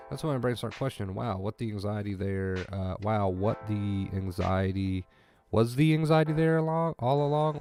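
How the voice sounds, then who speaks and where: A man hosting a livestream about anxiety, reading chat and thanking viewers by name. He speaks in a calm, reassuring way, repeats key phrases, and sounds congested, clearing his throat often. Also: fairly low pitch. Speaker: livestream host coaching on anxiety. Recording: music clearly audible behind.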